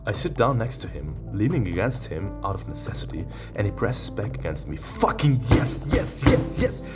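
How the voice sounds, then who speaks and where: A man playing a visual novel, reading its text aloud and breaking into excitable, playful reactions. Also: on the low side, normal speed. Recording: music behind the voice. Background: game music with plucked guitar.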